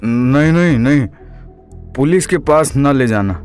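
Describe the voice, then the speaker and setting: A man's voice: medium pitch, expressive, unhurried, darkish tone, smooth, soft background music, pitched below the performer's own voice, close to an everyday voice. A man voicing a comic, evasive police inspector, grumbling and hesitant, in an audio drama. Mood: cornered, defensive. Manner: hesitant, grumbling, stammering excuses. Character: shifty, cornered rustic male police inspector, comically evasive.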